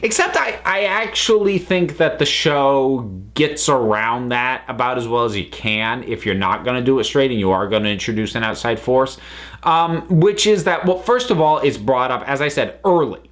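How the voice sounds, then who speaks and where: A man's voice: medium pitch, lively intonation, moderate pace, fairly bright tone. A man giving an unscripted review as a commentator, talking analytically and often stopping to rephrase himself. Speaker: reviewer, channel host.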